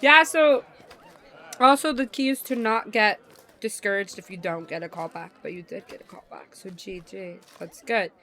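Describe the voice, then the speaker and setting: A young woman streaming a visual novel, chatting casually in an expressive, slangy way. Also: mid-range voice, moderate pace. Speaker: game streamer. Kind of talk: casual chat while reading a visual novel.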